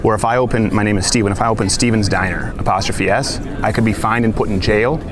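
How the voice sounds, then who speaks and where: Brisk, chatty male host, talks quickly, street crowd noise behind. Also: lowish voice, fairly even intonation. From Canada. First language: French. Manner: talkative, quick, interrupts and jokes. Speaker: host debating a guest. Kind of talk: casual street debate. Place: outdoors on a city street.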